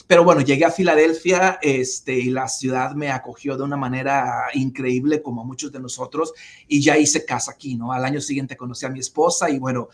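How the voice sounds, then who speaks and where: A man from Mexico in his forties, giving long, measured interview answers full of fillers and restarts. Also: mid-range voice. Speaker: interview guest.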